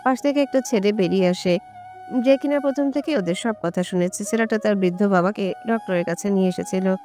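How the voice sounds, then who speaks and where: Female storyteller, medium pitch, scripted romantic narration, soft music underneath. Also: a little fast.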